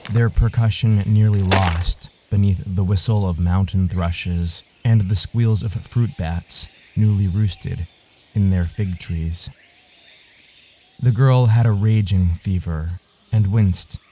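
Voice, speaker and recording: Deep, slow, mellow male story narrator. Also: a little hiss or hum. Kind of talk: scripted literary story narration.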